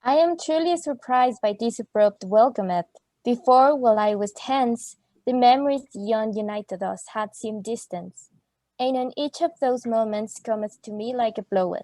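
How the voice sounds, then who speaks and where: A woman's voice: on the high side, moderate pace, mellow, dry recording, natural voice. A woman acting a young female student in an amateur radio play, speaking in formal, poetic phrases. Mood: formal surprise. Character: young female student returning to admiring suitors.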